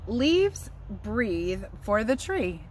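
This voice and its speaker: A woman teacher giving a chatty, expressive read-aloud lesson for young children, speaking outdoors. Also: fairly high pitch, drawn-out words, a little hiss or hum. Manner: chatty, expressive asides and questions to listeners. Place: outdoors in a yard.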